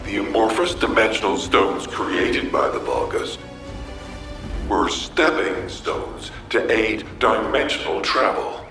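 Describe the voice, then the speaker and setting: An actor playing a thoughtful, intrigued analyst explaining discoveries in a video game. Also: male voice, lowish voice, huge pitch swings, slow, pushed and bright, exaggerated voice. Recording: echoing, distant, music behind.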